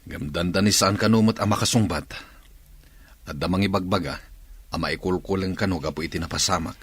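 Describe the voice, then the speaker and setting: A man's voice: deep voice, fairly quick, husky, no room sound. A man with a Filipino accent playing a worried, questioning island man in a radio drama. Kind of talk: Ilocano radio drama acting.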